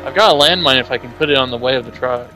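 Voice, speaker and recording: A man with a bright, forward voice at an average pitch chats casually as he plays a co-op game. Some game music sits behind him. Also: rapid-fire, rough recording. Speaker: gaming channel player. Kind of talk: casual co-op gameplay chat. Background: game music and sound effects.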